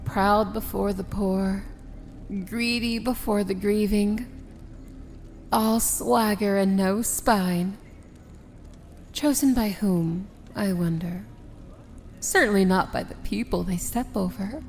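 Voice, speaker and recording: Casting: female actor as proud, tender villain princess for ASMR fantasy roleplay; unhurried, theatrical, intimate delivery. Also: mid-range voice, fairly even intonation, a little hiss or hum. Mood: scornful, wry. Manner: theatrical, deliberate, often dropping to a hushed whisper. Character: proud, tender princess of evil, wry and regal.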